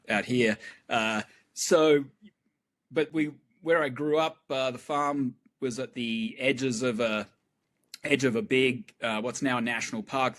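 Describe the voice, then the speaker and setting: A middle-aged man from Australia, a guest talking in a relaxed, rambling way with fillers. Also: mid-range voice. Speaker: guest astrophysicist. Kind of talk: casual chat.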